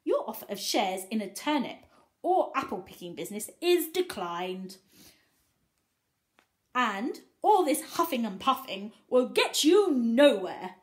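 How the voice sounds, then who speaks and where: Animated, high-voiced woman reading to children. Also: a little slow. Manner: animated, explaining and questioning as if to small children. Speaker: teacher reading to young children. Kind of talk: expressive picture-book read-aloud with simple asides.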